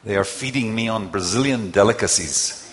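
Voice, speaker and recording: A man preaching in English through an interpreter, speaking in short phrases with pauses left for the translation. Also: fairly low pitch, fairly bright tone, slight background noise. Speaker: guest preacher. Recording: long hall reverb.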